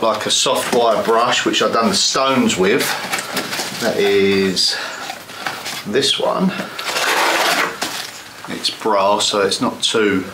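A man's voice: on the low side, noisy background. Chatty man walking through a renovation. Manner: chatty, explains plans step by step with fillers. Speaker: vlogger renovating a stone cottage. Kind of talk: casual walkthrough vlog. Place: inside a cluttered stone outbuilding.

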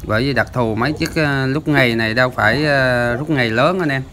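A man's voice: mid-range voice, a little fast, bright, forceful tone. A man hosting a fishing channel, explaining the footage in a chatty voice-over with lots of filler sounds. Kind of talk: casual voice-over commentary.

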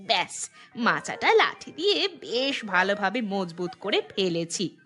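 A woman playing a practical, chatty village housewife in a Bengali cartoon, speaking in an expressive, homely way. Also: medium pitch, a little slow, faint music behind. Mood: busy, content.

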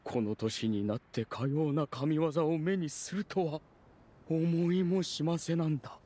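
アニメ調ゲームで、男性声優が演じる折り紙動物の年老いた長老。古風で丁寧な、おじいちゃんらしい優しい話し方。. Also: male voice, a little high, a little slow, mellow. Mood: awed. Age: older. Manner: courtly, old-fashioned, grandfatherly. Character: kindly old male origami animal village elder. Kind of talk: anime video game voice acting.

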